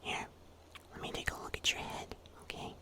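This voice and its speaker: A man playing a wry, protective huntsman in a breathy, whispered ASMR roleplay. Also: natural voice. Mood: caring, reassuring. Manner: slow, hushed, gently teasing. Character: mysterious huntsman in dark woods, wry and protective.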